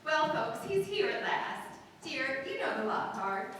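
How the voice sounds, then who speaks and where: An actress playing a brisk, long-suffering housewife and mother, wryly scolding, in a live 1940s-style radio comedy. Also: high voice, sing-song. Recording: echoey noisy hall, distant mic.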